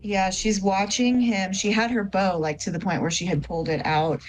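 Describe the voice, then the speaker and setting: A woman's voice: medium pitch, fairly even intonation, normal speed, over music, low-quality recording. A woman playing a tabletop game, chatty and rambling as she describes her character's actions. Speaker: player. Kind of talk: casual tabletop play.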